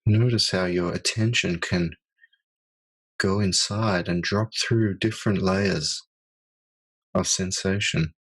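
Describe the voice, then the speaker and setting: Husky, low-voiced male meditation guide. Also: steady tone, poor audio. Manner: slow, soft-spoken, with long pauses.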